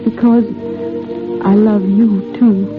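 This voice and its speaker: An actress playing a guarded, wistful young wartime nurse falling in love, in 1930s radio drama style. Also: female voice, medium pitch, little pitch movement, takes it slowly, natural voice. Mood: tender. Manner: hesitant, wistful, earnest. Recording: muffled tinny old radio recording, faint music.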